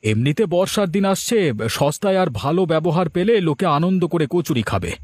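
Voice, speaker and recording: A man's voice: on the high side, expressive, moderate pace, darkish tone, no room sound, clean studio sound. A man voicing an envious, boastful village schemer in a cartoon, with a combative manner. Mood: confident. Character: envious, cocky male village schemer opening a rival eatery. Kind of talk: cartoon voice acting.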